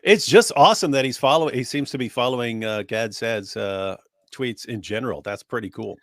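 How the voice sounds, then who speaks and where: A man's voice: mid-range voice, fairly quick, fairly bright tone, no echo, clean studio sound. A man co-hosting a casual online commentary, speaking emphatically and building his points in long lists. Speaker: co-host.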